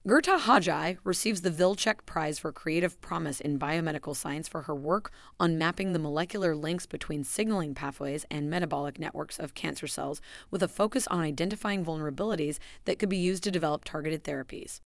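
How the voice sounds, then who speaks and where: A woman reading a scripted announcement of award winners in a measured, formal way. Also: low-pitched, creaky, no echo. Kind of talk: scripted narration.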